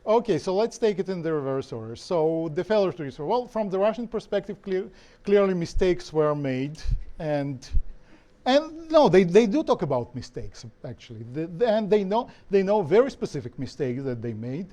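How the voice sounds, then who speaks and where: A man's voice: high-pitched, very animated, moderate pace, warm tone. A man from Ukraine, an author answering questions at a panel discussion, thoughtful, often restarting his phrases with "you know". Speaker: author and historian, guest speaker. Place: lecture hall.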